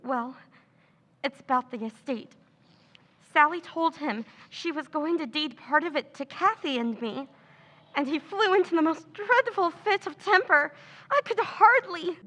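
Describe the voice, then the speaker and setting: A woman's voice: high voice, animated, measured pace, a bit bright. An actress playing a scheming woman who feigns nervous distress in an old-time radio drama, stammering and hesitating. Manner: stammering, hesitant, breathy pleading. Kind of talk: 1940s radio acting.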